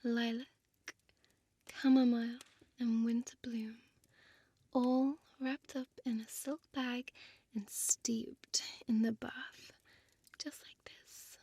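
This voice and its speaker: An elegant, predatory vampire woman, played by a female actor, whispers seductively in a breathy voice pitched a little high. She speaks very slowly with teasing, possessive formality, close and dry on the microphone like ASMR roleplay. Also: heavily acted. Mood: soft, intrigued. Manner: slow, deliberate, hushed, teasing formal speech. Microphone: close dry studio microphone.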